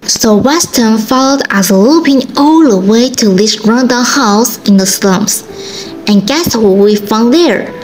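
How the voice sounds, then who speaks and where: A woman's voice: on the high side, unhurried, a little mellow, rough recording. A woman narrating an amateur radio drama as a scripted storyteller. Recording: music clearly behind the voice.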